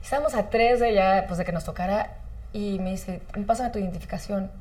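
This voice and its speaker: Woman guest, lively anecdote teller, mid-pitched voice, casual chat. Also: brisk. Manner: animated storyteller, acts out quoted lines.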